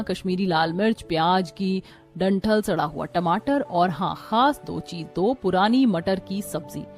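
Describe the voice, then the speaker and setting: A woman voicing scheming, smooth-talking female con artists in a moral story cartoon, with a glib, dramatic delivery. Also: medium pitch, a little mellow, close to an everyday voice. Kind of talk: acted dialogue in a moral story.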